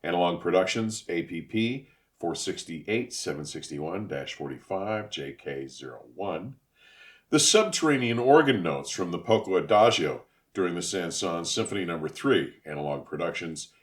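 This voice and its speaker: A man narrating a scripted equipment review in an emphatic, florid manner, with deliberate enunciation. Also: sits low, expressive.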